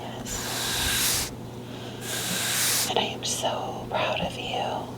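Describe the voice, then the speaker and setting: A woman's voice: extremely slow, low-quality recording. A woman whispering breathily in a soothing, tender, motherly ASMR roleplay, offering comforting reassurance. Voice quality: breathy, mostly unvoiced whisper. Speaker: ASMR performer playing a caring mother. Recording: soft music clearly behind the whisper. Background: music.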